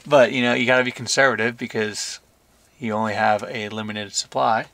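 A man's voice: fairly low pitch, fairly even intonation, fairly quick, fairly bright tone, no echo. A young man casually chatting to camera as a travel vlog host, laid-back and enthusiastic with informal slang.

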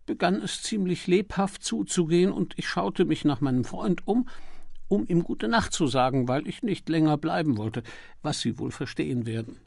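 A man's voice: a little high, creaky, a little mellow, dry recording, studio quality. A man voicing a timid, prim and apologetic male witness in an audiobook, earnest and easily flustered. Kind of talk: audiobook character dialogue.

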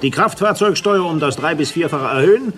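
An actor playing a jovial, commanding ex-colonel turned police inspector in a radio play, officious and military in manner. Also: male voice, a little high, expressive, a little fast, lightly acted. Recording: music behind the voice.

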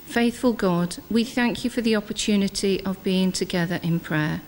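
An Englishwoman reading prayers in a measured, solemn way as she leads a church congregation. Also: mid-range voice, slight background noise. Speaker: intercessor leading congregational prayers. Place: inside a parish church. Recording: echoey church hall reverb.

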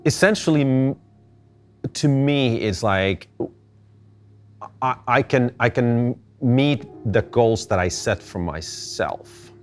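A middle-aged man from Finland, answering interview questions thoughtfully, with halting restarts. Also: medium pitch, lively intonation, faint music behind. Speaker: interview guest. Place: indoor gallery space.

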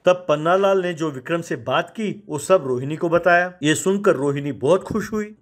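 A man's voice: on the high side, moderate pace. A man narrating a children's moral story in scripted storytelling style.

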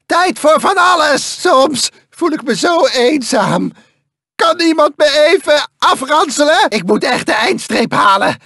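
A tiny, manic cyborg mad scientist from a cartoon video game. The voice is extremely high and gravelly, lurching wildly between self-pitying mutters and villainous shrieks. It is a very dry, close recording. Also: male voice, very animated, a little slow, bright, forceful tone, no echo, full character voice. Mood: frantic, upset. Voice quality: shrill, strained, gravelly.

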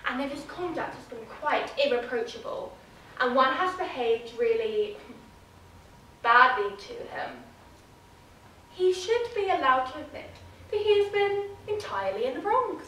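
A woman's voice: sits high, sing-song, a little slow, pushed and bright, a little hiss or hum, exaggerated voice. A woman performing a theatrical monologue as a witty, arch Victorian society lady, drawing out and savoring each phrase. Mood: arch, teasing. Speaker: drama student performing a monologue. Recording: very echoey room, mic far off.

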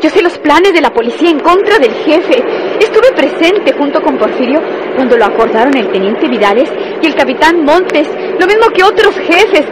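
Casting: defiant captive woman in crime radionovela, high pitch, insistent delivery, noisy old recording. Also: big pitch swings, normal speed. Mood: defiant pleading. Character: defiant captive gangster's woman accused of betrayal. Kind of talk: Spanish-language radionovela acting.

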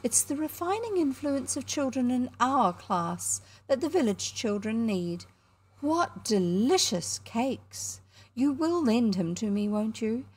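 A woman reader acts out a grand society lady from a storybook, gushing and condescending. Her voice is lifted high and fluting, with an affected coo and sweet insistence in every phrase. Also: very animated, slow pace, a little mellow, breathy edge, heavily acted. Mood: gushing, persuasive.

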